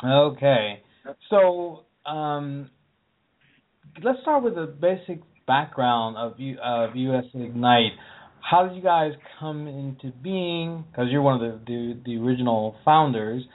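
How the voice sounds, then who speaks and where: Talk show host on a phone line. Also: male voice, medium pitch, lively intonation, slow. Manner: conversational, friendly, with occasional fillers. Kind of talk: hosting an interview.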